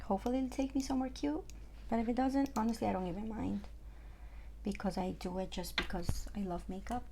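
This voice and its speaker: A woman's voice: medium pitch, fairly even intonation, fairly quick, mellow, slight background noise. A young woman from Ecuador with a Spanish accent, chatting casually through a makeup tutorial at home with lots of fillers. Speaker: makeup artist.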